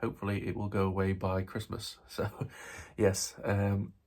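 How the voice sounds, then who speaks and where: A man's voice: low-pitched, monotone, normal speed, darkish tone. A man with a Yorkshire accent and a slightly nasal voice from a cold, hosting a casual, rambling video update about model railways. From England. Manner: rambling, with many fillers and restarts. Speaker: model railway channel host.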